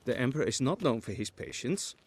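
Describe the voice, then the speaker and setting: A man's voice: mid-range voice, expressive, brisk, slightly rough. An actor playing a prim male servant at an imperial court in a video game, speaking in a fussy, formal, deferential way.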